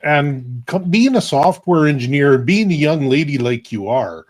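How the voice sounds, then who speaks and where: A man's voice: a little high, expressive, a little slow. A middle-aged man from Canada hosting a casual group video call, rambling and restarting his sentences. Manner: rambling, repeats words and restarts. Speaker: host.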